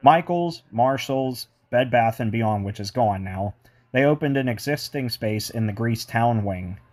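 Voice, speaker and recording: A man's voice: mid-range voice, animated, unhurried, a little mellow. A man giving an enthusiastic walking tour of a mall, mixing its history with chatty asides. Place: indoor shopping mall.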